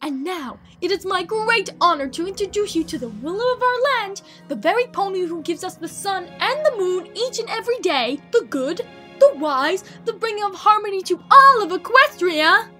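A woman's voice: very high-pitched, lively intonation, moderate pace, pushed and bright, faint music behind, heavily acted. A woman voicing a proud, formal mayor pony making ceremonious announcements in a cartoon fandub. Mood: grand, announcing.

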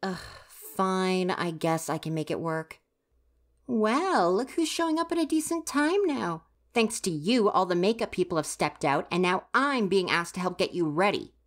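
A grumpy young stagehand of university age, played by a woman, speaks in a low-pitched voice. The delivery is huffy, exasperated and easily flustered, full of sighing reproach. It is ASMR roleplay, addressed directly to the listener. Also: animated, normal speed, lightly acted.

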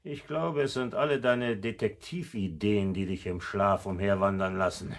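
An actor playing a suspicious, patronising middle-aged uncle in a radio play, commanding and condescending. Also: male voice, lowish voice, moderate pace, lightly acted. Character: suspicious, shady uncle, patronising adult man. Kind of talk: 1970s German radio play acting.

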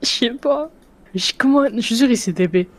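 A woman's voice: on the high side, expressive, moderate pace. A woman chatting casually while playing an online game. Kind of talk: casual gaming chat.

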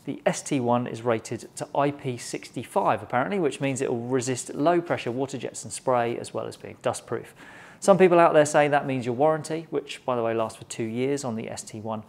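A middle-aged British man with a mid-pitched voice full of gravelly creak reviews a product. He explains in a measured, matter-of-fact way, speaking to camera. The voice is picked up by a clip-on mic in a small studio. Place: small indoor shop studio.

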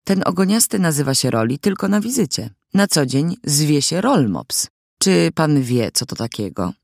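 Impish sixteen-year-old girl played by a male reader, talkative and theatrical, pitched up and very animated, for an audiobook. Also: moderate pace, creaky, no echo, clean studio sound, heavily acted. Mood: playful. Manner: lively, emphatic, theatrical. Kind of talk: audiobook character dialogue.

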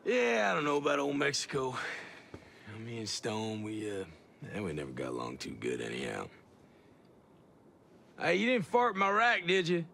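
A confident American special forces soldier in a video game cutscene. His voice has gritty fry and a forceful edge. He talks in a casual, measured military way, with faint ambience behind him. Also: medium pitch, huge pitch swings, a little hiss or hum, exaggerated voice. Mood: gruff, laid-back.

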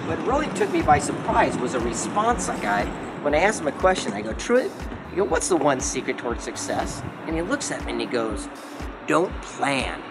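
An upbeat man in his forties chatting through a documentary, laughing often. His voice sits a bit high and is caught from a distance in echoey spaces, with music under it. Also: big pitch swings, moderate pace. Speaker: documentary host and narrator. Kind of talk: casual documentary vlog narration. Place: various indoor and outdoor locations.

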